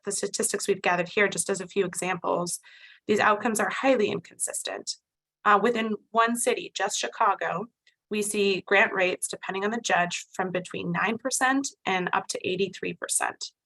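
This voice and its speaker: A woman immigration attorney with an American accent presenting a legal webinar, measured and thoughtful, often pausing with fillers and restarts. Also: medium pitch, a bit bright, low-quality recording. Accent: American English.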